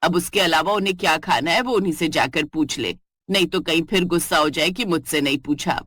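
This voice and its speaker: Lady putting on a grumbly mother-in-law voice, lower and fussy, cartoon, dry. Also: brisk, fairly bright tone, dry recording. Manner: complaining, fussy.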